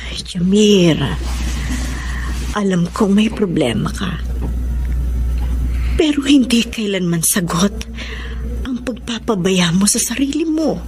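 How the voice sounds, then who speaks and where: A woman acting a gentle, long-suffering middle-aged Filipino mother in a radio drama, tender and coaxing. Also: medium pitch, very animated, slow pace, pauses often, background noise. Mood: worried, hurt. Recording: music bed behind dialogue.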